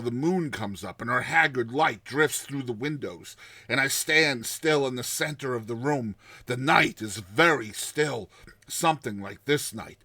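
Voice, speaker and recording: A man voicing a terrified man telling his nightmare in a dramatized story, with tense pauses, hushed passages and explosive outbursts. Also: mid-range voice, dry recording, full character voice. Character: terrified man recounting his nightmare of a lurking giant serpent.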